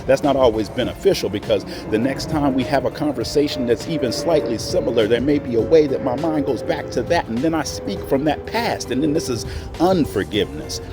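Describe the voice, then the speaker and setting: A middle-aged American man from Oklahoma giving a reflective monologue in an earnest, deliberate and emphatic way, pausing between phrases. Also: medium pitch, animated, over music. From Oklahoma, United States. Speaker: host.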